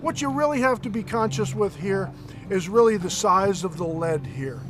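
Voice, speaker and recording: A man acting as a fishing guide on a boat, chatty and energetic, explaining tips step by step. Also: sits high, moderate pace, over music. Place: on a boat offshore, outdoors.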